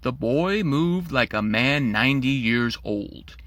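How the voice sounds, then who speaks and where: A man's voice: mid-range voice, animated, takes it slowly, fairly bright tone, no echo. A man narrating a rhyming children's storybook in a theatrical, playful way.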